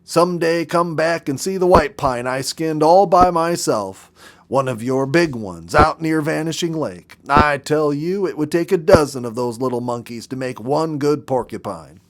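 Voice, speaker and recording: A man's voice: fairly high pitch, unhurried, voice pushed up, close to an everyday voice. A man voicing a cocky, boastful adolescent porcupine with slangy, tough talk in a storybook. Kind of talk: storybook character voice.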